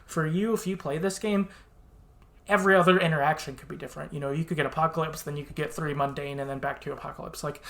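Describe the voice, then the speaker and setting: A man co-hosting a podcast in a casual discussion, informal and often halting as he searches for words. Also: a little high, fairly even intonation, vocal fry, fairly bright tone. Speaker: podcast co-host. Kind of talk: casual video game discussion.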